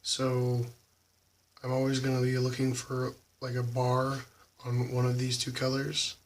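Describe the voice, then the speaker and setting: A slightly hoarse young man casually talking through how he solves a puzzle cube, halting with lots of ums. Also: medium pitch, completely flat delivery, recorded from a distance, slight background noise. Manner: halting, many ums, soft and quiet. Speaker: YouTube cubing channel host. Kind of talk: casual tutorial walkthrough.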